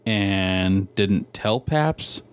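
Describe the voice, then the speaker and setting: A man co-hosting a podcast, talking in a casual, colloquial way with halting phrasing. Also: low voice, expressive, clear, resonant tone. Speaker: podcast co-host. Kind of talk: casual chat.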